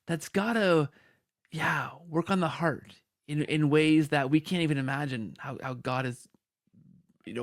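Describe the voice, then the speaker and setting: A man with a somewhat high, noticeably creaky voice hosts an interview. He talks enthusiastically in choppy, restarting phrases, and the sound is close and dry. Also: dry recording. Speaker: podcast host. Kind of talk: conversational interview hosting.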